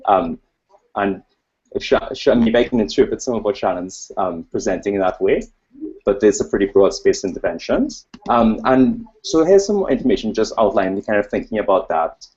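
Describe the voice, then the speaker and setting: A low, husky male voice with a Trinidadian accent delivers an informal academic presentation. He wanders into asides and plays himself down. The sound is dull and lo-fi, like a webcast. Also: expressive, normal speed, darkish tone. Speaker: academic researcher presenting at a conference. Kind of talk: informal conference presentation with slides.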